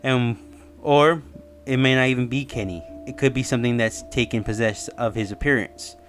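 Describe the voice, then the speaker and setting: A man's voice: medium pitch, moderate pace. A man giving casual, rambling explanatory commentary as the host of a theory video. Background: music under the voice.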